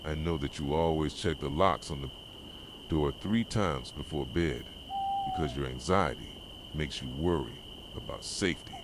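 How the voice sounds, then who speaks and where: Guy doing a possessive hurt yandere boyfriend, really deep soft voice, intense asmr pleading, lots of cricket and owl noise behind. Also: normal speed. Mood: possessive, insistent. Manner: intense, pleading, dramatic monologue. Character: obsessive, possessive young yandere boyfriend, wounded and betrayed. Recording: noisy track with night crickets and owls. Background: night ambience of crickets, owls and insects.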